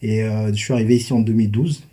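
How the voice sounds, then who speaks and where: A man of Congolese and Angolan roots, a podcast guest, speaking thoughtfully with many pauses and repeats. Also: on the low side, fairly even intonation, dark tone, slightly rough. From Congo-Brazzaville and Angola. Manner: thoughtful, hesitant, with many fillers and repeated words. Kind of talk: casual podcast chat.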